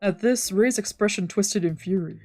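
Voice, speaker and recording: A woman's voice: mid-range voice, moderate pace, darkish tone, soft background music. A woman reading visual novel narration aloud as a let's player. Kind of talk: scripted narration, read aloud.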